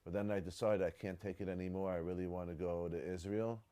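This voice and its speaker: American man, earnest interview answers, deep and mellow. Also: steady tone, quick pace. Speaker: student.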